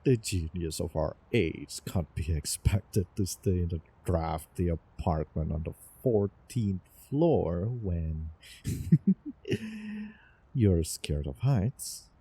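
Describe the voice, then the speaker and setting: A man playing a doting, obsessive young time traveler in love, in a theatrical romantic audio roleplay with halting, repeated words. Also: sits low, warm tone, husky, full character voice. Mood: reassuring, eager. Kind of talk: over-the-top romantic roleplay audio drama.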